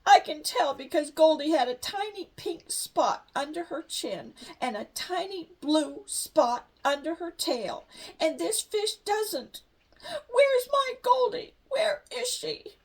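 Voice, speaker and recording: A woman's voice: expressive, slow, heavily acted, poor audio. An older woman voicing a young girl bear who is heartbroken and crying. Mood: distraught. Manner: high crying wail.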